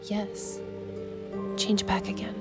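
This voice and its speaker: Game romance heroine: woman as confident, tender adventurer, medium pitch, clear music bed. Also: flat delivery, takes it slowly, lightly acted. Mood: seductive. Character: bold, devoted female adventurer in love. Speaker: amateur voice actor. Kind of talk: intimate fan-voiced game dialogue.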